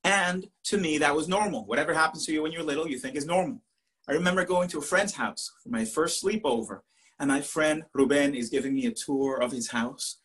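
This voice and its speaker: A man with a Puerto Rican accent whose first language is Spanish, speaking to an audience with earnest pauses. His voice sits a bit high for a man and has a husky edge. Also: a bit bright, poor audio. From Puerto Rico. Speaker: professor and poet. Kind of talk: storytelling talk to an audience.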